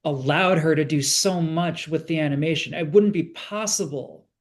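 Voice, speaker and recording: A man's voice: on the high side, moderate pace. A man speaking informally and conversationally as a film producer being interviewed.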